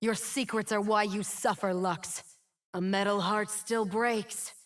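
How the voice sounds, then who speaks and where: A woman's voice: medium pitch, fairly even intonation, lightly acted. An actress playing a bitter, wounded fallen winged woman in a video game, solemn and theatrical. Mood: brooding, solemn. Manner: slow, solemn, theatrical delivery. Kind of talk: dramatic video game champion voice lines.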